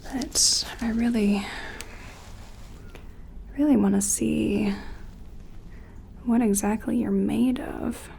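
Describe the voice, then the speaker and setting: A woman performing a gentle ASMR roleplay as an examiner, soft-spoken and often whispering, explaining things in a tentative, clinical way. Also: on the high side, steady tone, slow, gravelly, long pauses, slight background noise.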